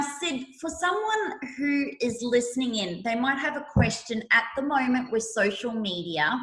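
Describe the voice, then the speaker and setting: A woman's voice: fairly high pitch, fairly bright tone. A woman hosting a podcast interview in an effusive, emphatic way, recorded in a roomy, echoey space. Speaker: podcast host.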